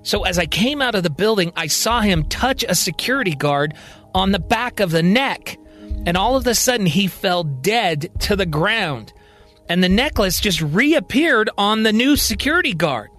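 A man's voice: high-pitched, expressive, moderate pace, a bit bright, faint music behind. A man voicing an earnest agent living in a young girl's body, explaining things in a radio-style science fiction story. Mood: tense recounting.

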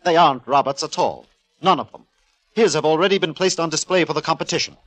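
Man acting smug art critic, fairly high voice, accusing, condescending, pointed, old radio drama. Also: fast talker, fairly bright tone, close to an everyday voice. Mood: cool, accusing.

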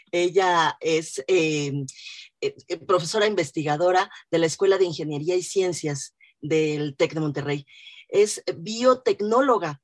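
A woman with a Mexican accent hosting a radio talk show, enthusiastic and chatty, with frequent fillers. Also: on the low side. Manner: warm, enthusiastic, many fillers. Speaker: radio host. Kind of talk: radio interview.

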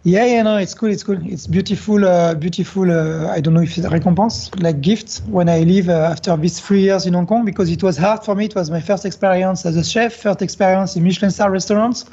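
A man with a French accent, a pastry chef speaking as a radio guest in halting English full of fillers. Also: high-pitched, fairly even intonation, mellow, highs cut off. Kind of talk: radio interview.

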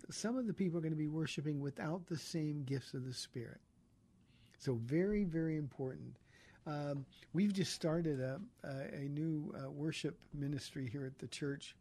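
A pastor hosting a call-in radio program, speaking earnestly and encouragingly to his callers. His voice sits fairly high for a man, with a mellow, relaxed tone. He often stumbles and restarts as he thinks aloud. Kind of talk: call-in radio Bible talk.

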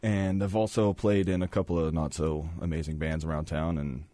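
A man from Arkansas, a band's bassist, with a deep voice, telling stories in an easygoing way as a radio guest. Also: normal speed, no echo. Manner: relaxed storyteller, easygoing. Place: radio studio.

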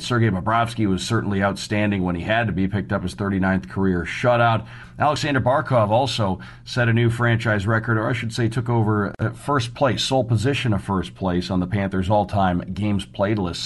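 A man hosting a sports show, recapping hockey news in a semi-scripted radio style. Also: on the low side.

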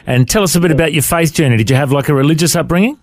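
A man's voice: fairly high pitch, very fast, slight background noise. A man hosting a podcast interview and asking encouraging questions. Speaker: podcast host. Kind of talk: casual interview.

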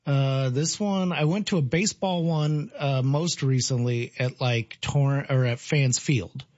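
A man co-hosting a morning radio talk show, agreeable and quick to chime in, who thinks out loud. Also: medium pitch, no room sound, muffled recording. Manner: agreeable, chimes in often, works through quick math aloud. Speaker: radio co-host. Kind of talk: casual local radio talk.

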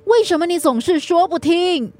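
A thirty-something wife with a high, emotive voice. She is earnest and easily wounded, pleading her case in radio-drama style. Faint music sits behind her. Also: lively intonation, normal speed, darkish tone. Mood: upset, accusing. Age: 30s.

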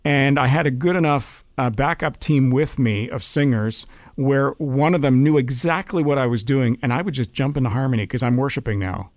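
A man's voice: medium pitch, normal speed, few pauses, dry recording. Man hosting a casual chat, dry close sound. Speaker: show host and former worship leader. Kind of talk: casual conversational interview chat.

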